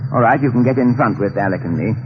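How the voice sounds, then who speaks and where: An actor playing a cool, dryly witty private investigator in an old-time radio drama, with a polished, measured manner. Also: male voice, medium pitch, close to an everyday voice. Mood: calm. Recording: muffled, noisy old radio recording.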